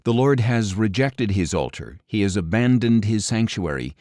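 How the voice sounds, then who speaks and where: A man reading scripture as an audiobook narrator in a solemn, grave, measured delivery with deliberate pauses. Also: lowish voice, lively intonation, warm tone, dry recording, clean studio sound.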